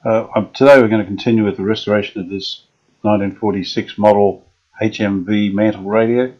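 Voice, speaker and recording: A man restoring an old radio, explaining each step in an unhurried way with many pauses and fillers. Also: lowish voice, fairly even intonation, muffled recording. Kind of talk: casual workshop demonstration.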